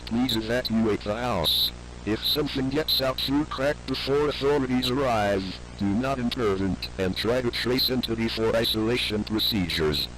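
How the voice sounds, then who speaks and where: A man's voice: medium pitch, a bit bright, slight background noise, lightly acted, low-quality recording. A man playing a grave government announcer reading a scripted emergency alert in a formal, instructional way. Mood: grave, instructive.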